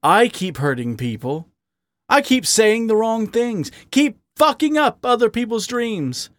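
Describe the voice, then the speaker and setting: A man's voice: high-pitched, sing-song, measured pace, fairly bright tone, dry recording, voice pushed up, exaggerated voice. A man voicing a drunk, self-hating male raccoon who snarls out his pain. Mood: anguished. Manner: snarling, anguished outbursts.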